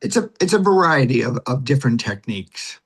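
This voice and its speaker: A man with an ordinary mid-range voice answers as an interview guest. He explains casually and haltingly, breaking his thoughts into short pieces with frequent hesitations. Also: medium pitch, sing-song, fairly bright tone, husky. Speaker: guest, community theater member.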